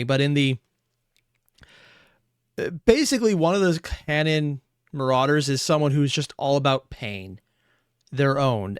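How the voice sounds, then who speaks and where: A man giving rambling, profane reaction commentary on tabletop lore, going a bit hoarse as he loses his voice. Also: a little high, no echo, studio quality. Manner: rambling, profane, animated asides. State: losing his voice. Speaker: reaction streamer.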